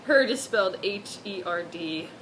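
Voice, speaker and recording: A woman's voice: medium pitch, animated, a little slow, fairly bright tone, slight background noise, rough recording. A woman doing a mock-serious dramatic reading of fan fiction, dropping in amused asides.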